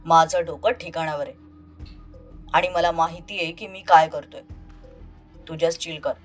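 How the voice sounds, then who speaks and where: A woman voicing a menacing, possessive young male villain who speaks in a slow, commanding, coldly threatening way in an audio love story. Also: sits low, fairly even intonation, pitched below the performer's own voice. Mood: possessive, controlled. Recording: music under the voice.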